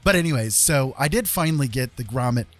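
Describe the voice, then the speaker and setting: A man's voice: medium pitch, lively intonation, quick pace. A man livestreaming in a casual, rambling way, with a jokey, self-deprecating, colloquial manner. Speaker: live streamer and digital artist.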